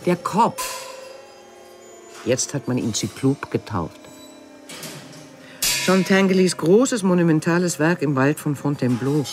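A man playing a narrator who relays an artist's memories as scripted voice-over in an audio drama. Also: fairly high pitch. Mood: narrating.

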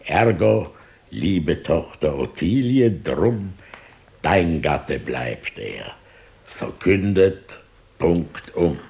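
A scheming old pontiff in a verse radio play. He speaks slowly and pompously, with a low, creaking voice and wide, sly swings of pitch. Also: very animated, noisy background, exaggerated voice. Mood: pompous, decisive.

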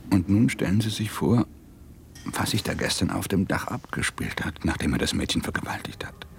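An actor playing a troubled middle-aged married police detective in a German radio drama, gruff but candid. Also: male voice, low voice, lively intonation, normal speed, slight background noise. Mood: troubled, hushed.

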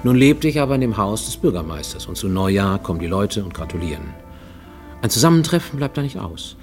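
An actor playing a haunted man who recounts childhood guilt in a halting, brooding radio-play monologue, at times sinking toward a whisper. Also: on the low side, big pitch swings, a little mellow, soft background music. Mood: reflective, resigned. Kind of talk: German radio play, first-person confessional monologue.

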